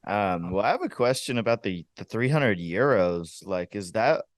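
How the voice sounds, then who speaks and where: A man's voice: lowish voice, sing-song, moderate pace, no echo. A man co-hosting a podcast, chiming in with slangy reactions and a hesitant question. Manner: slangy one-word reactions.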